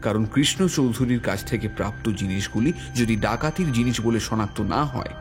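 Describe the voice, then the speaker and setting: A man's voice: on the low side, moderate pace. Man narrating a detective story over music. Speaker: narrator and police inspector character. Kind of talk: dramatic scripted story narration. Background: background music.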